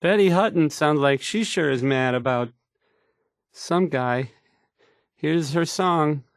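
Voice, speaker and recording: A man's voice: on the high side, slow pace, no room sound, clean studio sound. An actor playing a nervous, awkward young male radio DJ in a video game, stammering and hesitant as he talks. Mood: awkward, flustered. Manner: stammering, hesitant, unsure of himself. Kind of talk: video game character dialogue.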